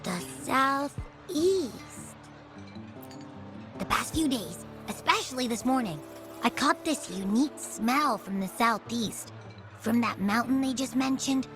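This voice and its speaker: A woman voicing a playful, greedy little creature companion in a video game, teasing and quick to wander off topic. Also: fairly high pitch, expressive. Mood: wary. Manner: bouncy, teasing, quick to tangents. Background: game music.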